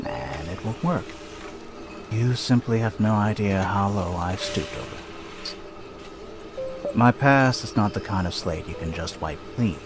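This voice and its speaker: Bitter self-loathing guy, wildly swinging pitch. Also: lively intonation, a little slow, pauses often, over music. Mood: bitter, ashamed. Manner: self-deprecating, sardonic. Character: self-loathing, bitter, wisecracking man in a diseased body. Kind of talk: video game cutscene acting.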